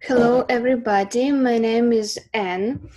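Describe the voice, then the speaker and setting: A woman's voice: on the high side, fairly even intonation, mellow, rough recording. A woman from Russia, a student, introducing herself hesitantly on a video call. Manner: slow, hesitant. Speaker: student and volunteer.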